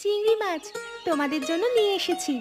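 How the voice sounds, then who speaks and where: A woman's voice: very high-pitched, brisk, darkish tone, faint music behind. A woman voicing a polite, helpful young village girl in a cartoon. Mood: earnest. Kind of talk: cartoon voice acting.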